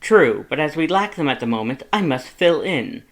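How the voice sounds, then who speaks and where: A man voicing a loud, fussy skeleton who is the younger brother, scolding emphatically in a fan fiction audiobook reading. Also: a little high, expressive, normal speed, pitched above the performer's own voice. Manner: scolding, emphatic, rising indignation.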